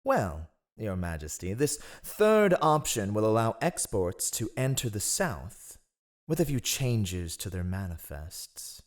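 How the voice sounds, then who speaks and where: Casting: man to play ruthless fantasy spymaster, smoothly sardonic, sly courtly deference, swooping intonation. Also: on the low side, sing-song, slow pace. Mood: coy, calculating. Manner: sly, mocking, theatrically deferential. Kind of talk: fantasy audio roleplay acting.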